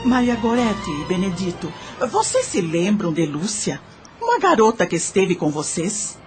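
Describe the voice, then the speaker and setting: A probing questioner played by a woman addresses spirits in an audio drama. The voice is measured in manner yet melodic, with a Brazilian accent and music behind. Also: mid-range voice, big pitch swings, close to an everyday voice. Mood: inquiring. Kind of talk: Portuguese-language audio drama acting.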